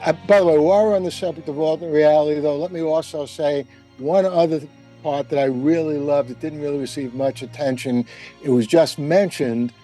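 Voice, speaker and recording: Male roundtable panelist, slightly high pitch, warm mellow tone, articulate, measured, thoughtful delivery. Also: steady tone, soft background music, low-quality recording.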